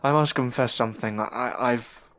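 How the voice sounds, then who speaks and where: A man playing a troubled, earnest gentleman in a period audio drama, speaking formally and hesitantly. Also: mid-range voice, moderate pace, natural voice. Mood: remorseful. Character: troubled, earnest gentleman haunted by newfound powers. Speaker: voice actor and narrator. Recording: noisy amateur audio drama recording.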